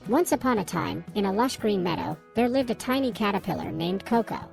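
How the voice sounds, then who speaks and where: A woman's voice: mid-range voice, animated, fairly quick, creaky, a little mellow, soft background music. A woman reading scripted narration for a children's storybook tale.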